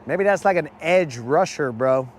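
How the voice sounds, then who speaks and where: A hoarse man chatting loosely to his viewers from a boat on a lake, his voice sitting a bit high for a man. His talk is slangy and easygoing, and he keeps calling people bro. Faint outdoor noise runs under him. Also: expressive, unhurried. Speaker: fishing channel host. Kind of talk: live-stream chat while fishing.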